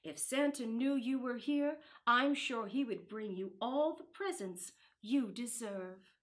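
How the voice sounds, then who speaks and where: Woman reading as a gentle, wistful storybook mother. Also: on the high side, slow. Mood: tender regret. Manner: soft, tender. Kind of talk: storybook read-aloud.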